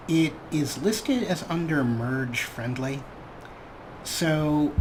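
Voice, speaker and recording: Male host, mid-pitch, relaxed wry gameplay commentary, noisy background. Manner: relaxed, wry, talks to his viewers. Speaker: let's play host.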